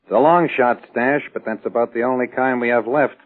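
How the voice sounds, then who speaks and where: A man playing a convict's cool, calculating brother, measured and guarded, in 1940s radio crime style. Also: medium pitch, steady tone, close to an everyday voice. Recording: tinny, narrow-band 1940s radio recording.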